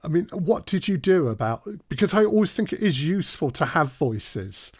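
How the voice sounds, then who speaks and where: A man's voice: on the high side, sing-song, normal speed, breathy edge, dry recording, studio quality. A man co-hosting a conversational workshop, talking discursively and building up to his questions. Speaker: workshop co-host and interviewer.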